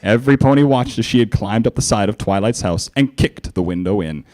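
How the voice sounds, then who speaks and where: A man giving a theatrical, animated live reading of fan fiction as narrator and presenter. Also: lowish voice, a little fast.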